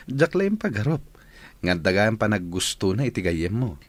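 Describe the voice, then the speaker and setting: A man's voice: lowish voice, wildly swooping pitch, unhurried, dry recording, exaggerated voice. A man playing an eager, genial engineer in a radio comedy drama. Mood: lovestruck, delighted. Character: eager, lovestruck male engineer, genial boss, marriage-minded. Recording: faint vehicle noise behind.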